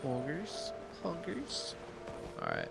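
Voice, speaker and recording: A man's voice: on the high side, huge pitch swings, takes it slowly, gravelly. A man doing casual, slangy live commentary as he streams a video game, with wry interjections. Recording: loud game music behind. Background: loud video game music.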